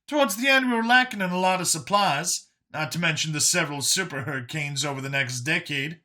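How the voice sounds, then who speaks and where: A man voicing an excitable, easily shocked doctor in an audio story, high and strained, with halting, exclaiming speech. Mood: earnest recalling. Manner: exclaiming, halting when searching for words.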